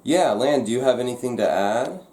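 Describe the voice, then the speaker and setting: A man's voice: medium pitch, animated, a little fast, dark tone. Man telling a casual vlog story, roomy echo. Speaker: vlog host. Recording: echoey, roomy sound.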